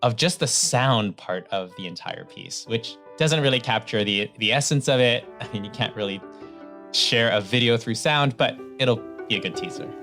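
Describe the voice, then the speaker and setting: A man's voice: medium pitch, normal speed, pushed and bright, faint music behind. Upbeat male interview host. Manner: upbeat, enthusiastic, sums things up. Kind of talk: hosting an interview.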